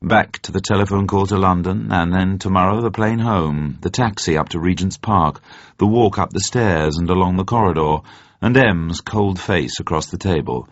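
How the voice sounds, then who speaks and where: A man reading a thriller as a dramatic scripted narration, with measured, suspenseful phrasing. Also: low-pitched. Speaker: narrator, reader. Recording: dull, echoey audio.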